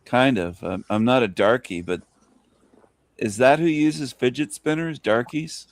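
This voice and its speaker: A man's voice: medium pitch, a little slow. A man in casual chat on a live stream, talking in a rambling, provocative way.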